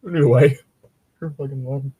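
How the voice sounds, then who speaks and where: A man co-hosting a casual podcast, riffing and joking in a profane, giggly, rambling way with a husky voice. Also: medium pitch, fairly even intonation, moderate pace, dark tone, rough recording. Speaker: co-host of a podcast.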